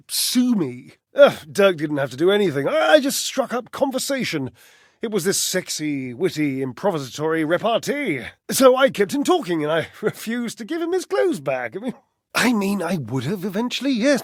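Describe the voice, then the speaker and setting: A man's voice: high voice, dry recording, clean studio sound. A man voicing a vain, sensitive clothes dryer who performs on stage, a theatrical video game character with dramatic sighs and flustered stammering. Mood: theatrical, indignant. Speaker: voice actor.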